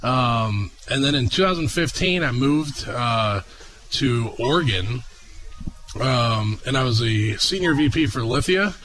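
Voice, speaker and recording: Halting young man, bright voice. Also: medium pitch, animated, slight background noise, low-quality recording. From Oklahoma. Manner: halting, many filler sounds. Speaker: guest, auto dealership executive. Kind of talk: radio interview.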